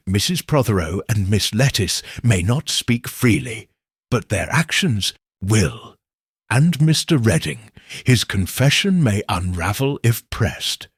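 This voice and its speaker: A man voicing a shrewd elderly village spinster, speaking in a gentle, probing, knowing way. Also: fairly low pitch, very animated, measured pace, breathy edge, no room sound, clean studio sound, full character voice. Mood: shrewd musing.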